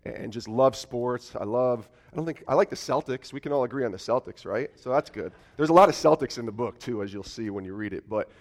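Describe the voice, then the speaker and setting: A man in his forties, originally from upstate New York, preaching a church sermon in a raspy, cold-roughened voice and joking with the congregation. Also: brisk, hardly any pauses. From Albany, New York. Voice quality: raspy, deeper than usual. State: hoarse from a cold. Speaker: guest preacher. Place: church sanctuary.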